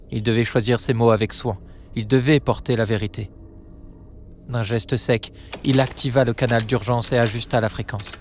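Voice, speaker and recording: Grave male narrator, very even pitch. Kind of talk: scripted dramatic story narration.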